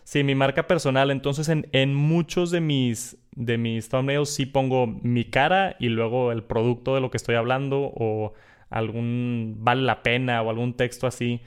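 A man's voice: mid-range voice, fairly even intonation, moderate pace, clean tone. A man with a northern Mexican accent hosting a podcast, chatting casually and often repeating words as he restarts. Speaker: podcast host.

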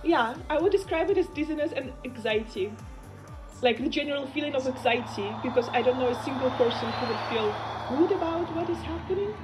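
A woman from Russia speaks in a fairly high voice with music clearly behind her. Her talk is casual and personal, as in an interview. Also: animated, a little fast, rough recording.

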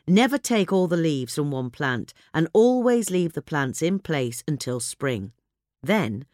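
A woman's voice: low-pitched, a little mellow, no room sound, clean studio sound. A woman reading a scripted gardening article as narration. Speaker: article reader.

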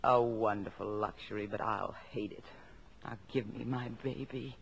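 An actress playing a patient, devoted, middle-aged wife and mother, composed and gently teasing, in a 1950s radio drama. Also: a bass voice, steady tone, moderate pace, creaky, slight background noise, low-quality recording. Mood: fond, wry.

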